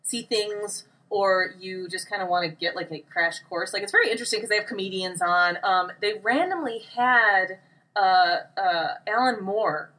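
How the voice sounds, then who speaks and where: Bright-voiced woman podcaster, slightly distant. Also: medium pitch, moderate pace. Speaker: podcast co-host. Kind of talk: casual podcast chat. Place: small room.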